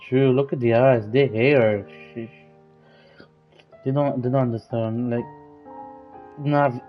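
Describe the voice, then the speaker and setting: A man giving casual, halting reaction commentary over a game, often repeating his phrases. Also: medium pitch, fairly even intonation, faint music behind. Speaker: gaming video creator. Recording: dull, lo-fi microphone.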